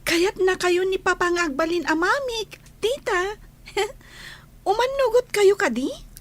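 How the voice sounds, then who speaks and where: Tiny, very high-voiced shy little girl. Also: fairly bright tone, exaggerated voice. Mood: sweet, affectionate. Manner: hesitant, slow. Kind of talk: Ilocano radio drama acting.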